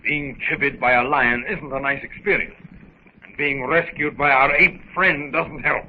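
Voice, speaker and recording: Man acting testy gentleman, dull old radio. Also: mid-range voice, fairly even intonation, measured pace, close to an everyday voice. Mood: embarrassed. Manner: clipped, testy, indignant. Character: proud, irritable, jealous English gentleman. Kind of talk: 1930s radio serial acting. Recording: dull, noisy 1930s radio recording.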